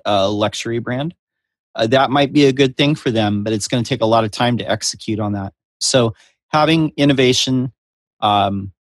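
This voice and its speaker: A man speaking as a panelist, laying out an analytical, measured argument. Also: mid-range voice, dry recording. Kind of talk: panel discussion.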